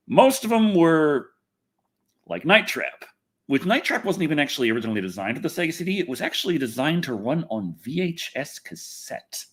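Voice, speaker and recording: A man's voice: medium pitch, slightly rough. A man hosting a casual gaming and movie news livestream, rambling and often interrupting himself as he talks. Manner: rambling, animated, self-interrupting. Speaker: livestream host.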